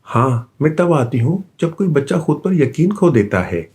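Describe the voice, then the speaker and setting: A man voicing a gentle, encouraging magical female cat in a children's storybook, reassuring like a wise mentor. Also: a little high, big pitch swings, measured pace, husky, voice pushed up, full character voice.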